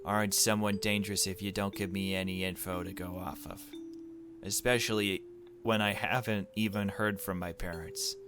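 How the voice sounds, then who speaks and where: Guy doing a unsure worried teen girl from a visual novel, halting, questioning, kinda low voice. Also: fairly even intonation, a bit bright, a little hiss or hum. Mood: anxious, pleading.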